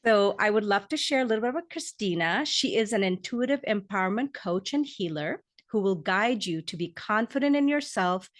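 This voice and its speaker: A woman's voice: medium pitch, expressive, moderate pace, few pauses. A woman hosting a podcast, introducing and interviewing her guest with gushing, effusive praise. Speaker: podcast host.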